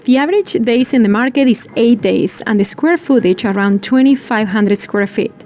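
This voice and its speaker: A woman's voice: a little high, moderate pace, warm tone, faint music behind. A woman from Argentina whose first language is Spanish, presenting a scripted real estate video about her neighborhood.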